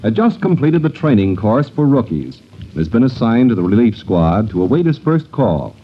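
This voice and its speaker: A man's voice: mid-range voice, very animated, normal speed, slight background noise. A man announcing and narrating a radio drama in a dramatic, scripted 1940s style. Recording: dull old radio recording with music.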